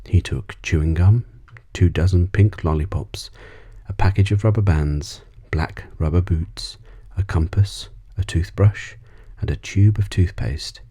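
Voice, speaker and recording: A man narrates a bedtime story in soft ASMR style. His voice sits very deep, with a mellow, dark tone and a husky grain. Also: unhurried. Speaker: audiobook reader.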